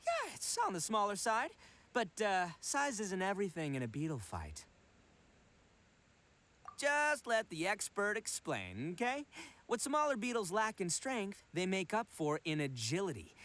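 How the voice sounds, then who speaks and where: A man's voice: high voice, wildly swooping pitch, unhurried, fairly bright tone, a little hiss or hum, full character voice. A man voicing a brash, goofy young self-proclaimed expert in an anime game, bragging theatrically in slangy speech. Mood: reassuring, confident.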